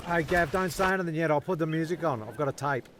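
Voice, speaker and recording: A man narrating and acting as a fussy, deadpan castaway in a comedic radio play, with a creaky voice. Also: on the high side, quick pace, noisy background, natural voice. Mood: mildly exasperated.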